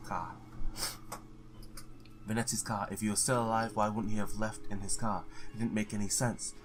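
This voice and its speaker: A man's voice: lowish voice, little pitch movement, normal speed. A man doing a let's play, reading visual novel text aloud, stumbling now and then and blurting his own reactions.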